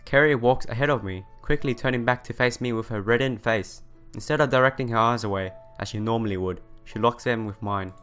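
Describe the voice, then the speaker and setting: Male let's play narrator: clean, resonant mid-range voice, expressive read of visual novel text over light music. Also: medium pitch, brisk, hardly any pauses, smooth. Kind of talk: reading visual novel narration aloud with casual asides. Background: background music.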